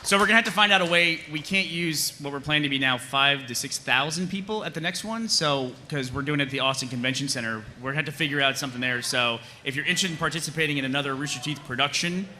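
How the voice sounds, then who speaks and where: A man's voice: fairly high pitch, fast talker, few pauses, bright, forceful tone. A man talking casually as a panelist, answering audience questions at a live convention event. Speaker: panelist and cast member at a fan convention. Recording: some background noise, live convention panel.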